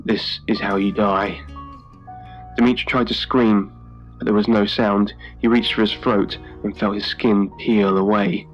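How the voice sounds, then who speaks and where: A man reading a scripted horror story in a measured, dramatic storytelling manner. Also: on the low side, flat delivery, dull audio. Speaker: story narrator. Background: music.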